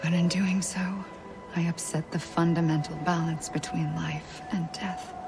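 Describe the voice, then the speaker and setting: A woman voices a grave, haunted founder in a video game cutscene. Her voice is low and soft, and she often drops close to a whisper. A loud orchestral score plays clearly under her words. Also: flat delivery, normal speed, lightly acted. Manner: quiet, intimate, often near a whisper. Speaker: game character. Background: orchestral game music.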